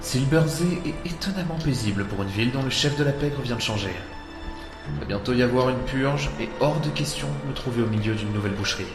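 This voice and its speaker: A man's voice: medium pitch, a little fast, close to an everyday voice. Man acting a weary adventurer in echoing reverb. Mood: weary, brooding. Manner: dry, sardonic. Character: dry, weary male adventurer, gruffly sardonic. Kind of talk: audio drama dialogue and inner monologue. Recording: echoing, long reverb, music underneath.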